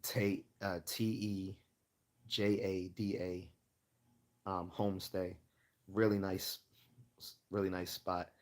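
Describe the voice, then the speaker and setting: A man hosting a casual livestream Q&A, chatting and rambling as he loses his voice and keeps clearing his throat. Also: deep voice, little pitch movement, slow, long pauses. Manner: rambling, chatty, laughs often. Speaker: livestream host.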